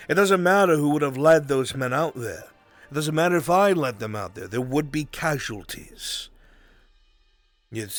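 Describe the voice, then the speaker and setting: A man playing a grave, fatherly older military commander in a fantasy roleplay, speaking in a measured, solemn, counselling way. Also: mid-range voice. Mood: firm, insistent. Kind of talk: live fantasy roleplay, improvised dramatic acting. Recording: music behind the voice.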